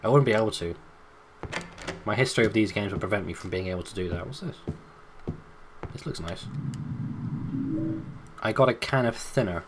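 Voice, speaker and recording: A man's voice: fairly low pitch, normal speed, long pauses, background noise. A man co-hosting a let's play, joking, swearing and mocking himself. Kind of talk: casual gameplay commentary.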